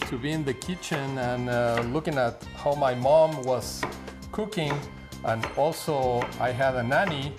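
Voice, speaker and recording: A man from Mexico City with a Mexican Spanish accent, a guest demonstrating a recipe in a kitchen and talking haltingly with many pauses and fillers. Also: mid-range voice. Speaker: guest cook. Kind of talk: cooking demonstration. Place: home kitchen. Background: background music and sizzling pan.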